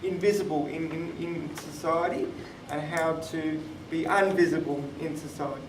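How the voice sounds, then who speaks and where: A man's voice: on the high side, steady tone, moderate pace. A man in his twenties giving an explanatory personal talk as a speaker on a live panel. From born in Thailand, moved to Australia. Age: 20s. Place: conference room, live event. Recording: roomy, distant mic, clear background noise.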